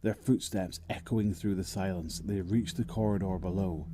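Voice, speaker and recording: A man acting as the narrator of a zombie horror audio drama, telling it in a measured, descriptive way. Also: deep voice, fairly even intonation, no room sound. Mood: grim, suspenseful. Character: male narrator of a grim zombie horror tale. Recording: loud music under the voice. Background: music.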